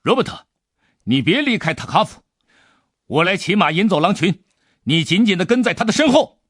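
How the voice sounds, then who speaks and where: A man's voice: sits high, big pitch swings, normal speed, fairly bright tone, husky, no echo, clean studio sound, voice pushed up, exaggerated voice. A man voicing a resolute, protective, fatherly lord in an audiobook, speaking emphatically. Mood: urgent, commanding.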